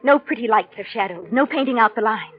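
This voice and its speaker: An actress playing a seductive, secretive, self-assured art patron with a coy, measured delivery in a 1940s radio drama. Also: female voice, on the high side, lightly acted. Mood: seductive, insistent. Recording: dull lo-fi 1940s radio recording.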